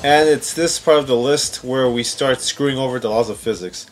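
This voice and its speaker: A man's voice: mid-range voice, normal speed, low-quality recording. A man giving informal, sarcastic countdown commentary on video game scenes, often incredulous and swearing. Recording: music playing behind the voice. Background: background music.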